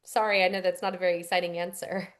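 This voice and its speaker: A woman's voice: fairly low pitch, level tone, fast, fairly bright tone. A young adult woman, a voice actress answering interview questions in a chatty, rambling way with fillers and restarts. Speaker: voice actress guest.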